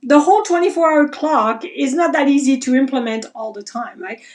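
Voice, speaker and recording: A woman with a fairly high voice teaches a live online class on Chinese medicine. She talks casually and with energy, chatting to her viewers and reading out their comments as she goes. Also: fairly quick. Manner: lively, animated, reads viewer comments aloud. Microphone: livestream.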